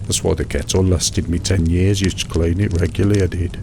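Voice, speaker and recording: A middle-aged British man in a monotonous, soothing one-sided chat, hesitant and rambling, repeating himself over mundane small details. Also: sits low, a little fast, vocal fry. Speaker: host of a sleep relaxation show. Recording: steady background noise, fireside crackle.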